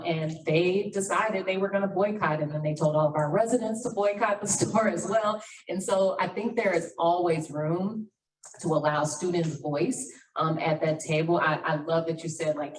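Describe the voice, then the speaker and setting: Loud, low-voiced woman on a panel. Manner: loud, lively, quick to laugh. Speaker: panelist, school district manager. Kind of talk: panel discussion. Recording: roomy, distant mic, faint noise.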